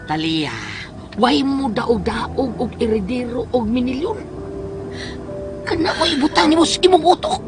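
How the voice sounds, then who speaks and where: A woman's voice: sits high, lively intonation, unhurried, long pauses. A woman playing a brash, folksy, meddling friend in a radio drama, with a Filipino accent. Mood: scolding, exasperated. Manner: folksy, peppered with verbal filler "taon". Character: brash, meddling, wisecracking provincial friend giving advice. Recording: radio drama with music underneath.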